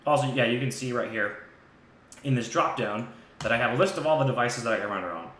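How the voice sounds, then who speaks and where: A man with a bright, forward voice leads a casual software tutorial on a live stream. He thinks aloud as he demonstrates, in an informal way. The room is clearly echoey, with a faint layer of background noise. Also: mid-range voice, fast talker.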